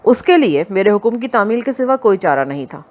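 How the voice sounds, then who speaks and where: Woman reading a detective story aloud, calm and even, mid pitch. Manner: steady, measured reading. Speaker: audiobook reader. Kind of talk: scripted first-person crime story narration.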